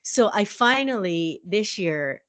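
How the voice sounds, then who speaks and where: A woman's voice: mid-range voice, expressive, takes it slowly, fairly bright tone, no echo. A woman co-hosting a podcast in casual chat, rambling and laughing often as she tells stories. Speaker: podcast co-host.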